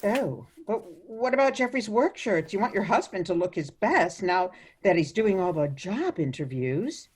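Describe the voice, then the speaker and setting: Lady playing a meddling old granny in a radio play, genteel n fussy, swoopy pitch, husky edge. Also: big pitch swings, normal speed, few pauses. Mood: disapproving. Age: older. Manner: genteel, gently disapproving. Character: sweetly meddling, fussy old mother-in-law.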